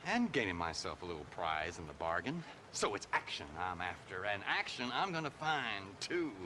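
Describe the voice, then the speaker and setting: Bright, gushing, excitable writer. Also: male voice, medium pitch, a little hiss or hum. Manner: gushing, wordy, self-amused, repeats himself. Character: excitable, chattering male East Coast magazine writer out West. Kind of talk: theatrical video game acting.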